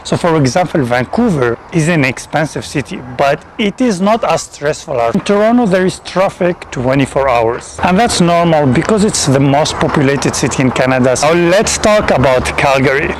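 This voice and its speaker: A man's voice: a little high, animated, moderate pace, hardly any pauses, a little hiss or hum, low-quality recording. A man from Tunisia in his thirties, giving a conversational to-camera explainer outdoors on a lapel mic, speaking English with small grammar slips. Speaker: immigration vlogger. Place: outdoors in a city park.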